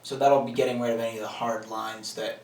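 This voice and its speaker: A man's voice: medium pitch, flat delivery, fast talker, a little mellow, echoey room, recorded from a distance. A young man co-hosting a casual tutorial, adding brief supportive comments. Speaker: tutorial co-host. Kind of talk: casual chat. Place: small home recording studio.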